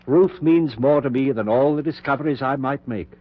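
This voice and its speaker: An actor playing an obsessed, middle-aged research scientist in a 1940s radio drama, earnest and insistent, with formal diction. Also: male voice, mid-range voice, normal speed, no echo, lightly acted. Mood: assured, genial. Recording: dull 1940s radio recording.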